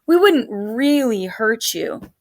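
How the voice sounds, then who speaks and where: A woman reading a storybook, voicing a gentle girl who patiently soothes and reassures a small child. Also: a little high, very animated, takes it slowly, heavily acted. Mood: reassuring.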